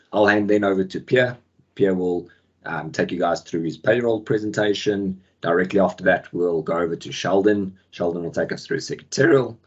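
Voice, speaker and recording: A man with a deep, husky voice, croaky from the flu, hosts a product webinar over a video call. He talks casually, full of ums and filler. The call audio sounds muffled. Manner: relaxed, many ums, friendly filler words. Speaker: webinar host and product manager. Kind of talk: casual software webinar presentation. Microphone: video call microphone.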